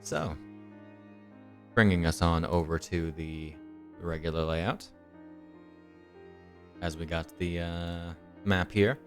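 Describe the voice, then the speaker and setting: A man's voice: deep voice, fairly even intonation, slow pace, long pauses. A young man with a slightly nasal voice, recovering from illness, hosting and recapping a tabletop game as dungeon master with many ums and uhs. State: recovering from illness and tooth surgery. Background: soft background music.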